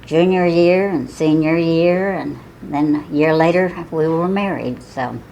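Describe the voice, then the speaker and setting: A woman's voice: low-pitched, a little slow, mellow, slight background noise, rough recording. Older woman with a Southern Illinois accent. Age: 80s. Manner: chatty, laughs often, with false starts. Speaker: oral history interviewee. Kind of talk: casual reminiscing.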